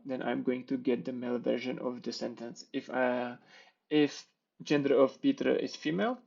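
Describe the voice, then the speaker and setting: A man who walks through a coding demo in a steady, patient way. His voice carries a gravelly fry. The recording sounds dull and lo-fi. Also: mid-range voice. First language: Czech. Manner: explanatory, steady walkthrough with small restarts. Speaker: conference speaker. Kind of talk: live-coding tech talk.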